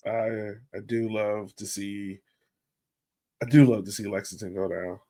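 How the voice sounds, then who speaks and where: A man's voice: lowish voice, steady tone, a little slow, warm tone. Casual man co-hosting a sports podcast. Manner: informal, colloquial speech. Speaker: podcast co-host.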